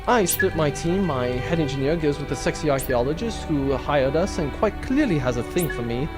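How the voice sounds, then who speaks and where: A man's voice: fairly high pitch, pitched below the performer's own voice, lightly acted. A man playing a smug, brazen space captain hero who brags theatrically in a comedic audio adventure. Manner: cocky, theatrical bragging.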